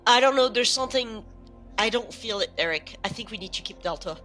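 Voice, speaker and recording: A woman's voice: fairly high pitch, animated, brisk, pushed and bright, faint music behind. A woman playing a doubtful, questioning team member in an audio drama, searching for her words in natural, improvised-sounding dialogue. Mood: anxious, doubtful.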